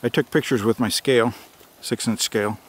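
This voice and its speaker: A man's voice: mid-range voice, fairly even intonation, a little fast, breathy edge. A man narrating casually while filming on a hike in an open grassy field, with the camera's own mic. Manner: chatty, running commentary. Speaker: hiker filming a Bigfoot search. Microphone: handheld camera mic.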